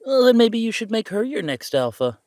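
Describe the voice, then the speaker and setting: A man's voice: high voice, sing-song, a little fast, darkish tone, no echo, studio quality. A man playing a young adult werewolf son in an audio roleplay drama, sardonic and rebellious, with a wry, skeptical delivery.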